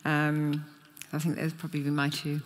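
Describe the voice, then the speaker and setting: A woman panelist giving examples at a panel discussion in a measured, steady way. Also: sits low. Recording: echoey hall.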